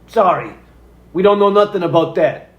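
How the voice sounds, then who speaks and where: A man's voice: high-pitched, lively intonation, moderate pace, dull audio. A man playing a cagey, evasive, wisecracking bar regular in a scripted play. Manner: sly, evasive. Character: cagey, wisecracking bar patron keeping secrets. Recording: roomy, echoing sound.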